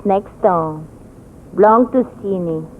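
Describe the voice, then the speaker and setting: An actress playing a stern, superstitious island servant who speaks terse broken English in a 1940s radio drama. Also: female voice, medium pitch, takes it slowly, close to an everyday voice. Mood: ominous. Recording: muffled, noisy 1940s radio recording.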